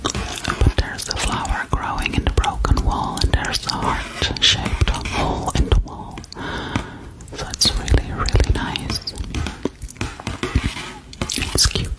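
Female ASMR host, young adult, close-mic whispering, unhurried and repetitive, soft personal-attention delivery. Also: low-quality recording. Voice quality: breathy, unvoiced whisper. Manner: slow, repeats phrases softly. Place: small room at home, indoors. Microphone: close desktop condenser microphone.

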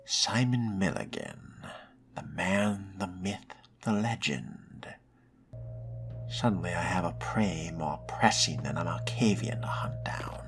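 A man's voice: sits low, slow pace, faint music behind. A man roleplaying a curious, wry vampire fledgling in a video game, musing aloud with dry, theatrical phrasing. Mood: musing, predatory.

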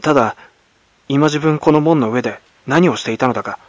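Young servant turned robber, voiced by a male reader: commanding, scornful, pitch lifted, close dry sound. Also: fairly quick, dry recording, close to an everyday voice. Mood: firm, commanding. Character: stern, menacing young manservant turning robber.

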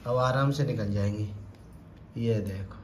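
A man's voice: on the low side, a little slow. A man in his thirties giving a chatty home cooking demonstration to viewers in a tiled kitchen, filmed on a phone held close. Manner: chatty, addresses viewers as friends. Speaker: cooking vlogger. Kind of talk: casual home cooking demo. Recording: roomy tiled kitchen, faint background noise.

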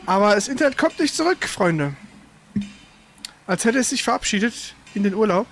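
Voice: mid-range voice, big pitch swings, fairly bright tone. Grumbling livestream host with background noise. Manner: grumbling, exasperated, halting. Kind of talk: casual livestream chat during technical trouble.

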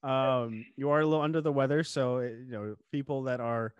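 Young male podcast host, lively casual interviewer, dry studio mic. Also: mid-range voice, brisk, clean tone, no echo, dull audio. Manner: animated, talks with his hands. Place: home studio room. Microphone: studio mic on boom arm, headphones.

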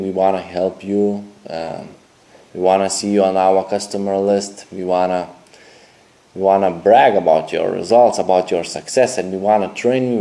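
Young guy, really low voice, halting sales pitch to camera, keeps repeating himself. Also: mellow. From Lithuania. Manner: halting, repetitive, non-native phrasing. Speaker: dating coach. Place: indoor room with a TV screen behind.

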